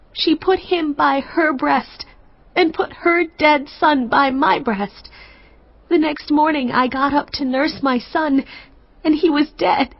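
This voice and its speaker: Pleading young mom in a bible audio drama, high emotional voice, bit muffled recording. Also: female voice, creaky, exaggerated voice. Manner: emotional, imploring. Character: pleading young mother accusing her rival before a king. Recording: slightly dull, band-limited audio.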